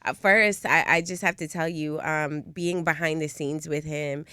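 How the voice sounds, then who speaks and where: A woman's voice: deep voice, steady tone, a little slow, bright, forceful tone, smooth, no echo, clean studio sound. A woman speaking casually as an interview guest. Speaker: stage actress guest.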